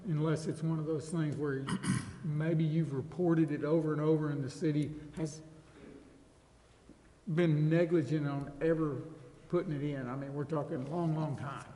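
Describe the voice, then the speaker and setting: A man's voice: fairly high pitch, steady tone, moderate pace, dark tone. An American man explaining a point as a council member at a public meeting. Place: large indoor council chamber. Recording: echoey hall, background noise.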